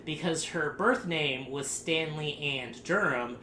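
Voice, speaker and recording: Bright-voiced sarcastic man, echoey room. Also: on the high side, slow pace. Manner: rambling, sarcastic, laughs often. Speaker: podcast host. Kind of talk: casual group podcast chat. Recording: echoey room, faint noise.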